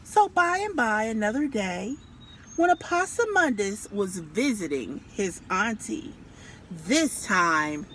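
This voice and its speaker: A woman storyteller reading a picture book aloud to children and pausing to ask them questions. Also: a little high, a bit bright, a little hiss or hum.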